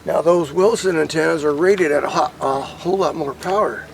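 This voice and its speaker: An American man giving a casual, rambling hands-on demonstration to camera, informal and thinking out loud as he goes. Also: a little high, normal speed, vocal fry, a little hiss or hum. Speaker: hobbyist vlogger demonstrating CB radio gear.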